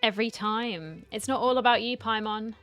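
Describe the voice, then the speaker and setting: A woman's voice: fairly high pitch, moderate pace, fairly bright tone. A woman giving casual, wry, chatty reaction commentary while playing a video game. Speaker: gaming streamer. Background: faint game music.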